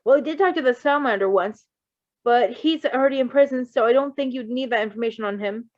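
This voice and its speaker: A woman's voice: on the high side, fast talker, a little mellow, voice pushed up, close to an everyday voice. A woman voicing an anxious, self-deprecating young male villain who blurts and rambles nervously in a read-aloud story. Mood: nervous rambling. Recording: dull lo-fi microphone.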